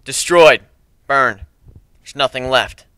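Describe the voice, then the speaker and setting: A man's voice: medium pitch, slow pace, sharp tone, clean tone, dry recording. A man voicing a brash, cocky griffin adventurer with a blunt, sardonic way of talking, in a fantasy story reading. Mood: grim.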